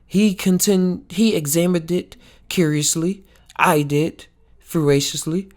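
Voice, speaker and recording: A woman reads a novel aloud for a book club. Her voice sits low and she takes the text slowly. The delivery is a little halting, as in an unpolished read-along. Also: darkish tone.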